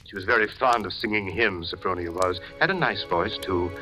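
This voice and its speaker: An actor playing a deranged, menacing hotel keeper who rambles in a folksy, deceptively solicitous way, in an old-time radio horror drama. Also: male voice, low voice, brisk, sharp tone, low-quality recording. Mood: eerily matter-of-fact. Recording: vintage radio drama, music underneath.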